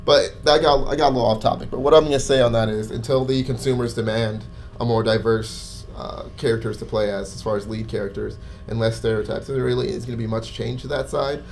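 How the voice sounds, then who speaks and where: A man's voice: mid-range voice, steady tone, brisk, faint music behind. A man co-hosting a casual panel discussion in an informal, conversational manner. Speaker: podcast co-host. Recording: faint room noise.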